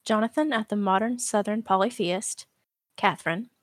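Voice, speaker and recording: A woman co-hosting a podcast, reading a list of names and a scripted message at an even pace. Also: mid-range voice, normal speed. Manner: reads out a list of names evenly. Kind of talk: scripted read.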